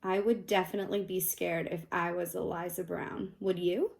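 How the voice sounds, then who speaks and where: A woman reading a children's picture book aloud expressively, pausing to ask her young listeners questions. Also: on the low side, little pitch movement, vocal fry, slight background noise. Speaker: read-aloud host, storyteller.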